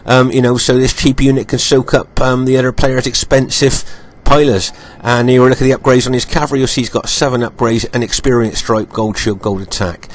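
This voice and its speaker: A man with a croaky voice, recovering from illness, giving unhurried commentary over strategy game footage, with many ums and repeated points. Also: mid-range voice, steady tone, slight background noise.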